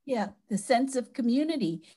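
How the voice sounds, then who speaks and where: A woman from Hawaii, a guest in a casual interview, warm and effusive as she talks. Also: fairly high pitch, lively intonation, normal speed.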